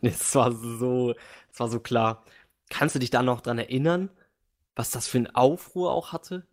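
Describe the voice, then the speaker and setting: A young man co-hosting a podcast in casual chat, easygoing, with halting restarts. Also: mid-range voice. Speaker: podcast co-host.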